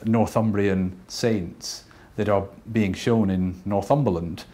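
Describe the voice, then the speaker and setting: A man from Scotland who is a painter, talking thoughtfully and unhurriedly about his work, with pauses and fillers. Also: fairly low pitch, steady tone, mellow.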